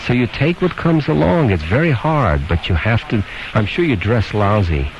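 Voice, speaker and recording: A low-pitched man hosts a phone-in radio show. He probes bluntly and hands out opinionated advice. The sound is dull and lo-fi, with clear noise over it, like an old AM aircheck. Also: lively intonation, normal speed. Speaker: radio call-in show host. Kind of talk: probing phone-in advice conversation. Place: radio studio.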